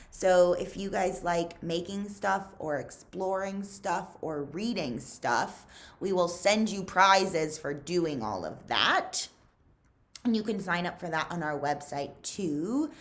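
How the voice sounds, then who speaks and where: A woman reading a children's novel aloud as a librarian would, with measured, dramatic emphasis. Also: fairly low pitch. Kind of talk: expressive storybook read-aloud.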